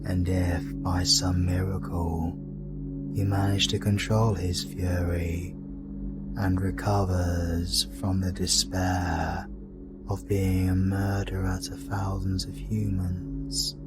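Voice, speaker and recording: Man as a slow, deep, scheming dark god. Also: flat delivery, loud music behind the voice. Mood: calculating, menacing. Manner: menacing, drawn-out, deliberate delivery. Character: scheming dark deity, cold, calculating, condescending overlord. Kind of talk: fantasy audio drama acting.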